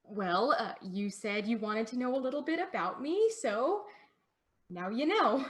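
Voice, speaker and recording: A woman's voice: a little high, expressive, measured pace, fairly bright tone. A woman playing a sheltered, eager young daughter in a play performed as a video call, coaxing and insistent in a girlish way. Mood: chirpy.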